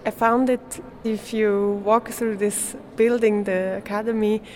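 Slow, halting young woman from Switzerland. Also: medium pitch, little pitch movement, a little mellow. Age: young adult. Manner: hesitant, searching for words, with small laughs. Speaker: performance artist, art student being interviewed. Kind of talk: casual interview in non-native English.

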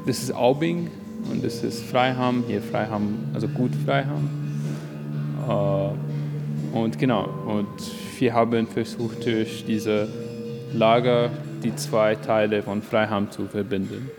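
A male architecture student explaining his class project in hesitant, halting German at an exhibition. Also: lowish voice, fairly even intonation, gravelly. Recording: music playing in background.

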